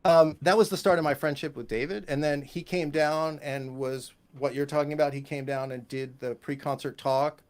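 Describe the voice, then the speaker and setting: A man speaking casually as a guest composer and teacher, telling animated anecdotes and acting out what people said. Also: on the high side, normal speed, few pauses, clear, resonant tone. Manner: animated anecdotes, quotes others and sings musical examples. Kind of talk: casual interview storytelling.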